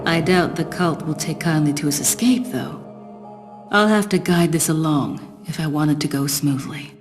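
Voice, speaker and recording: A woman plays a secretive, calculating spy from a video game, with a low-pitched voice. She sounds composed, aloof and knowing, with clear music playing behind her. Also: normal speed, natural voice. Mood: detached, calculating. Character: cool, secretive, calculating female spy, adult. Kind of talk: video game cutscene acting and narration.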